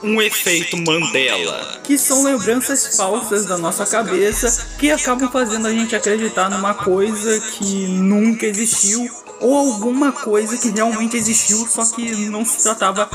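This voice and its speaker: A man's voice: sits high, moderate pace, hardly any pauses. A Brazilian man narrating a YouTube video in a casual, chatty style. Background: hip hop music.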